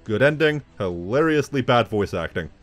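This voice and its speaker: A man's voice: medium pitch, animated, normal speed, faint music behind. A man delivering scripted video commentary as an essayist, with snide, wry asides.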